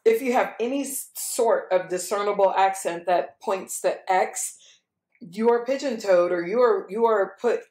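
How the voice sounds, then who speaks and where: A woman talks in a casual podcast chat, emphatic and animated, laughing easily between points. Her voice sits at an ordinary middle pitch. It rings a little in an echoey room. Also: moderate pace. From Louisiana. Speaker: podcast host.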